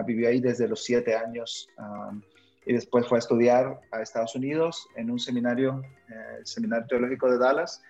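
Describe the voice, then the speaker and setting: A man's voice: mid-range voice, a little mellow, muffled recording. A man in his thirties, raised in Honduras, a missionary and pastor telling his life story casually with frequent fillers. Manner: chatty, many "ah" fillers, laughs easily. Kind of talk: relaxed personal storytelling.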